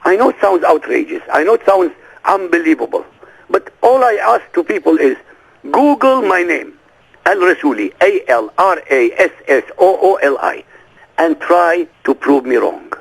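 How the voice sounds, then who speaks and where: An insistent man who speaks Arabic as his first language sits in as an interview guest, pressing his points with one rhetorical question after another. His voice sits high and swings widely, rough with gravel and a husky edge. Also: big pitch swings, normal speed, poor audio. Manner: forceful, emphatic, fires rhetorical questions. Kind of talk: radio interview.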